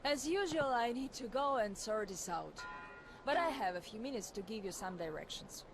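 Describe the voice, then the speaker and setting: A brisk young forest leader. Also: female voice, fairly high pitch, expressive, noisy background. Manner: brisk, practical, giving directions. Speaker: young woman who helps the hero. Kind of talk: video game cutscene voice acting.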